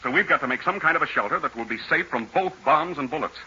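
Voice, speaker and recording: Decisive American aviator hero, fast raspy forceful voice, old radio serial. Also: male voice, fairly high pitch, lively intonation, full character voice. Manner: urgent, businesslike. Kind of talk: 1940s radio acting. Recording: muffled old radio recording.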